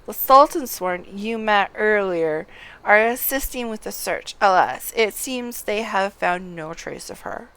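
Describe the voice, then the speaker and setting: A woman's voice: mid-range voice, lively intonation, a little slow, fairly bright tone, smooth, low-quality recording. A woman reading aloud the formal, grave lines of an earnest male quest-giver in a fantasy game. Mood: grave, urgent.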